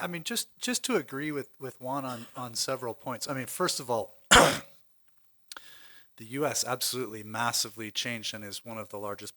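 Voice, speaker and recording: A man's voice: mid-range voice, sharp tone. American man thinking aloud on a panel. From United States. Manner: thinking aloud, many restarts and fillers. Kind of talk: conference panel discussion. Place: lecture hall at a conference.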